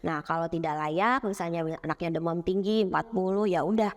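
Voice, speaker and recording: A woman's voice: on the low side, fairly quick. A woman pediatrician with an Indonesian accent, patiently explaining child health on a podcast with fillers and restarts. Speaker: pediatrician guest.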